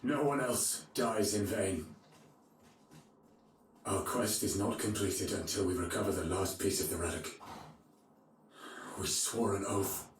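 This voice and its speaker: An actor playing a righteous, resolute knight lord, earnest and commanding, in a game cutscene. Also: male voice, low voice, unhurried, pauses often, a little hiss or hum. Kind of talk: dramatic video game cutscene acting. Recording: distant, very echoey, faint music behind.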